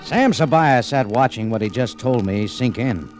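An actor playing a tough, wry American cafe owner in a radio adventure, with clipped, sardonic, hard-boiled delivery. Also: male voice, medium pitch, animated, normal speed, music in the background, close to an everyday voice. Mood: tense, hard-boiled. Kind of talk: 1940s radio noir acting with first-person narration. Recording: noisy old radio recording with clicks.

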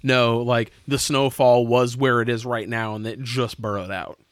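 A man's voice: medium pitch, steady tone, moderate pace, smooth, no room sound, clean studio sound. A man playing a tabletop role-playing game, reasoning aloud and asking questions in casual conversation.

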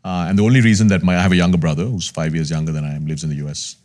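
A man's voice: sits low, steady tone, rapid-fire, a little mellow. A man in his fifties from Sri Lanka, a podcast guest telling his life story in an earnest, reflective way. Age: 50s. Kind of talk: candid conversational storytelling.

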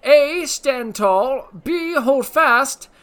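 Comic game show host, voiced by a man: very high, bright, blustering rambler. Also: animated, extremely slow, heavily acted. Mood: rambling. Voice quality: high squeaky put-on voice with slangy blustering delivery. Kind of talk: comedic character monologue on a podcast. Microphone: podcast mic.

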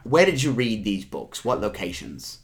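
A man's voice: fairly low pitch, very animated, moderate pace. A man hosting a comedic board game review, grilling his guests in a playful, mock-interrogating way. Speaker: host.

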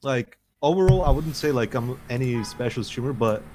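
A man's voice: mid-range voice, animated, moderate pace, warm tone, a little hiss or hum. Swearing Russian-accented man. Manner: blunt, frequent swearing. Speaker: streamer guest. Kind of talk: casual chat.

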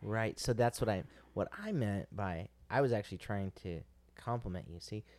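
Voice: low-pitched, lively intonation, normal speed, a little mellow. A podcast co-host in casual chat, teasing and encouraging, often hesitant with fillers.

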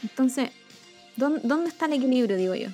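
Female co-host, Chilean accent, high pitch, tentative conversational delivery over music. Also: animated, moderate pace, mellow. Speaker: co-host of a talk show. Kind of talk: casual chat.

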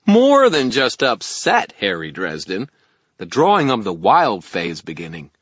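A man voicing an excitable, impatient tiny male fairy for an audiobook, shrill and exclamatory. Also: unhurried, husky, no room sound, muffled recording, exaggerated voice. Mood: chirpy, earnest. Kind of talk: audiobook character acting.

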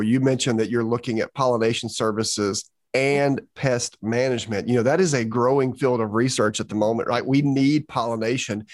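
A man's voice: medium pitch, no room sound, studio quality. A man hosting an interview podcast, upbeat and enthusiastic. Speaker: podcast host.

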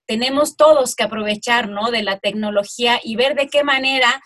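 A woman's voice: a little high, normal speed, a bit bright. A woman with a Mexican accent co-hosting an interview in a conversational style. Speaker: interview co-host.

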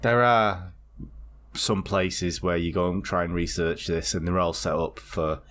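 Podcast co-host, male, lowish voice, loose, rambling, sweary storyteller. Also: steady tone, a little slow, a bit bright. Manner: rambling and swearing, with many restarts. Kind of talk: casual chat.

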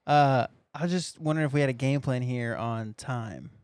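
A man's voice: mid-range voice, normal speed, darkish tone, clean tone, no echo, natural voice. Casting: man playing a self-pitying husband, whiny teasing, dry close sound.

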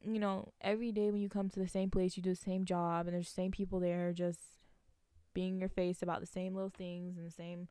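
A woman's voice: lowish voice, little pitch movement, brisk, mellow, clear, resonant tone, dry recording, clean studio sound. A woman in her late teens chatting casually to viewers in a rambling, giggly, slangy way, thinking aloud as she goes. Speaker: vlogger, college student. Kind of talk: casual solo chat to viewers.

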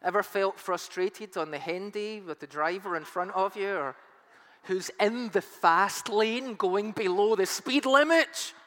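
A man's voice: sits high, measured pace, a bit bright. A man preaching a sermon as pastor, his voice strained and nearly gone, talking in a chatty way with self-mocking humor. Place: church hall. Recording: long hall reverb, faint music.

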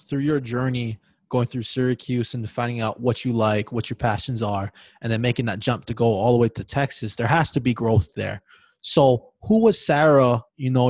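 Relaxed podcast host from Hawaii, dry sound. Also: male voice, mid-range voice, no echo. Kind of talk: casual podcast interviewing.

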